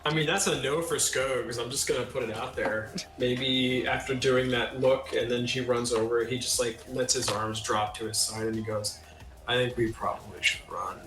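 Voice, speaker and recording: A man's voice: mid-range voice, fairly even intonation, normal speed, roomy sound, music in the background, poor audio. A man playing a tabletop game, casually describing what his character does and says.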